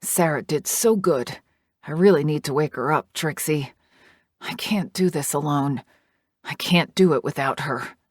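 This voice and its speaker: A woman voicing a gentle biker man who has just become a father, speaking softly. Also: deep voice, measured pace, no echo, studio quality. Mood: pleading.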